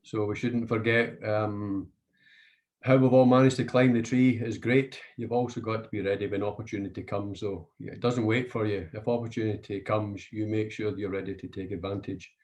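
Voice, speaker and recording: Middle-aged man, low voice. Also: fairly even intonation, normal speed, darkish tone. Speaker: managing director on a panel. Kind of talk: panel talk to an audience.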